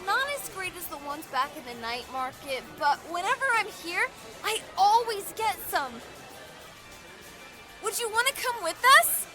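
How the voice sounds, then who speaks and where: Casting: sassy, chirpy young girl, very high-pitched, animated, audio drama. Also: measured pace, music in the background. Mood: eager, friendly. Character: fast-moving, cheeky, food-loving young girl.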